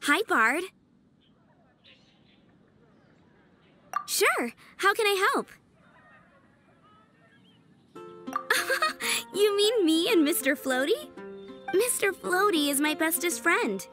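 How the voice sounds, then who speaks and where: A woman's voice: very high voice, big pitch swings, measured pace, bright, forceful tone, soft background music, exaggerated voice. A woman voicing a dreamy, friendly little girl in a video game, childlike, giggly and earnest. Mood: cheerful, giggly.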